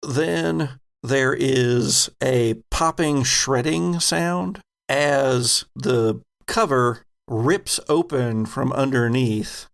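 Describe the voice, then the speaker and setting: A man runs a horror tabletop game in a mid-pitched voice with some fry. He feels his way through vivid scene descriptions, pausing and picking up again as game master.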